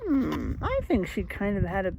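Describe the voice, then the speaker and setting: A woman's voice: mid-range voice, huge pitch swings, normal speed, creaky, a little mellow, slight background noise, rough recording. A woman reading a children's story aloud as a teacher would, speaking directly and encouragingly to young listeners. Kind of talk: children's read-aloud with rhyme and vocabulary talk.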